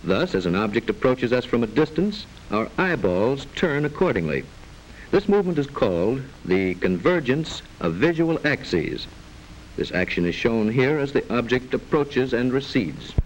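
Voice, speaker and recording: A man narrating a mid-century educational film in a formal, measured, didactic way with precise enunciation, on an old film soundtrack. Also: mid-range voice, very animated, a little hiss or hum, poor audio.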